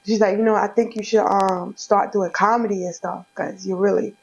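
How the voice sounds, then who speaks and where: A woman's voice: mid-range voice, fairly even intonation, moderate pace, vocal fry, darkish tone. A young woman from Brooklyn, a comedian talking frankly and streetwise in an interview, often laughing. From Brooklyn, New York. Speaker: comedian guest. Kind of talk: casual interview chat. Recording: dull lo-fi audio.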